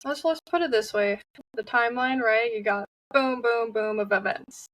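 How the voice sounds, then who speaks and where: A woman's voice: on the high side, moderate pace, fairly bright tone, no room sound. Distant, dry-sounding young woman guest. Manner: open, candid, many fillers. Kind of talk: personal interview talk.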